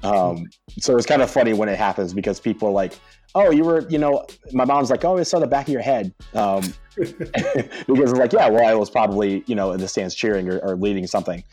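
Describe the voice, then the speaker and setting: An American man talking casually as a podcast guest, telling animated stories and laughing often. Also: lowish voice, a little fast, faint music behind. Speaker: podcast guest, soccer supporter leader. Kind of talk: casual conversation.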